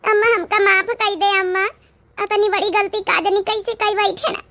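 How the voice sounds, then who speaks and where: A woman's voice: very high voice, flat delivery, moderate pace, pushed and bright, heavily acted. A woman voicing a betrayed, naive young village daughter in an Awadhi cartoon comedy, plaintive and reproachful. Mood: remorseful.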